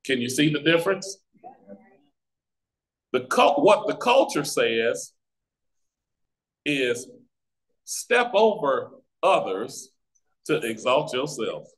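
An American man teaching a Bible study as a pastor, with deliberate, emphatic phrasing and pauses, over a video call. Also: mid-range voice, sing-song. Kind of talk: Bible teaching with scripture reading. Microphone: video call microphone.

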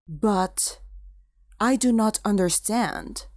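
Lady doing a stern queenly mom, slow n formal, dry close sound, audio drama. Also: medium pitch, darkish tone, no echo. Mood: displeased. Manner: stately, formal. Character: formal, stern royal mother. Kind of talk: fairy-tale audio drama acting.

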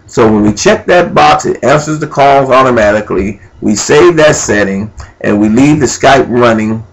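A man giving an informal, unscripted step-by-step software walkthrough, thinking aloud as he goes. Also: mid-range voice, normal speed, poor audio. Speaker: amateur tutorial presenter. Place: indoors at a computer.

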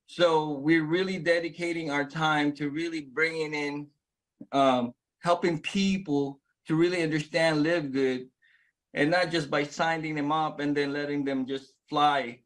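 Man, about 40, from the Philippines; slightly high voice; eager testimonial to a phone camera, lots of restarts; small room, close mic. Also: measured pace. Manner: earnest, excited storytelling with many restarts. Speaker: network marketing member sharing his story. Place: small indoor room with plain wall. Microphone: phone camera at close range.

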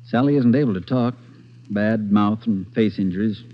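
An actor playing a courteous, careful hospital doctor in 1940s radio drama style. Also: male voice, lowish voice, normal speed, natural voice. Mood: cautioning. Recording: muffled 1940s radio broadcast.

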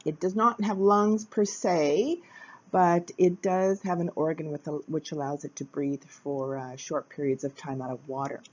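Low-voiced woman, halting fillers. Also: fairly even intonation, lo-fi sound. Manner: hesitant, many uh fillers, plain. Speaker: capoeira teacher. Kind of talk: casual educational narration.

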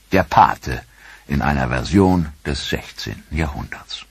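A man narrating a history documentary in a measured, solemn, scripted style. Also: low voice, breathy edge, no echo.

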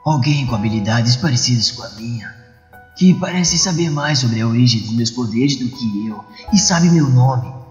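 An earnest, troubled young man, voiced by a male dubber in a motion comic, introspective and mid-pitched. The sound is echoey, with music behind. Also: expressive, normal speed, a little mellow, close to an everyday voice. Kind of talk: dubbed comic-book dialogue and inner monologue.

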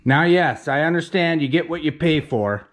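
A man's voice: fairly high pitch, lively intonation, normal speed, dry recording. A man, chatting casually in a folksy, self-deprecating, rambling way as he shows his building work. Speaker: homesteader vlogging his barn build. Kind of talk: casual walk-through chat. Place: outdoors at a rural building site and inside the wooden building.

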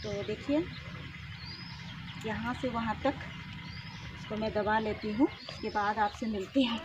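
A woman's voice: mid-range voice, measured pace. A young woman from Jharkhand, India, vlogging her chores in a village yard, talking casually into a phone held at arm's length. She sounds tired from a day of physical work. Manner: chatty, showing chores while walking and working. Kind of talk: casual vlog narration to camera. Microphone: phone camera at arm's length. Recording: dull lo-fi phone audio with clear outdoor noise.

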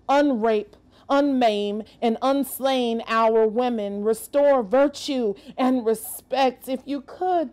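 Female spoken-word poet, slightly high voice, unhurried and deliberate, landing each phrase with emphasis. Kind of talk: dramatic spoken-word poetry recital. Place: inside an empty streetcar.

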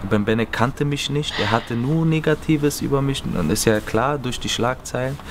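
A man's voice: medium pitch, normal speed. A young man from Berlin answering interview questions earnestly, seated in an empty stadium. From Berlin, Germany. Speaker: footballer. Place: empty stadium stands, outdoors. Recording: noisy outdoor stadium recording.

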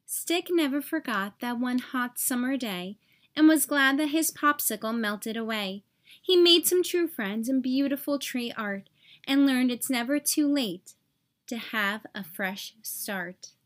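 A woman hosting a read-aloud, telling a children's story in an expressive storytelling style. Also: high voice, unhurried. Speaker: children's story reader, host.

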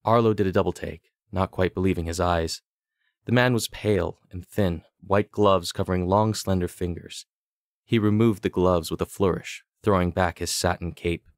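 A man narrating a scripted fantasy audiobook with deliberate, dramatic storytelling. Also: deep voice, steady tone, no room sound, clean studio sound.

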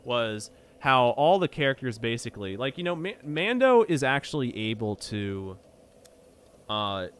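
A man's voice: medium pitch, big pitch swings, a little slow, fairly bright tone. A young man chatting casually while playing a game, rambling, stammering over his words and swearing when something annoys him. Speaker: gameplay streamer. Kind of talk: casual chat while gaming.

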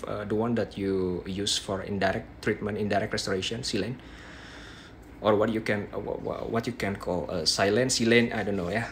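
A man's voice: on the low side, slight background noise. A young man with an Indonesian accent teaches a live dental demo from a small bedroom, a phone held close. His throat is a little sore, and he talks in restarts, tagging lines with "yeah". Faint room ambience sits behind him. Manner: halting, frequent "yeah" tags and restarts. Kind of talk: live-stream teaching, reading viewer questions. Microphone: phone held close at arm's length.

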